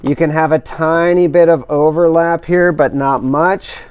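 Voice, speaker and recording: A middle-aged man calmly explaining each step of a hands-on installation as he works. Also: a little high, smooth, dull audio. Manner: careful, measured explaining while working with his hands. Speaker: instructor demonstrating kayak outfitting. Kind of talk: step-by-step how-to demonstration.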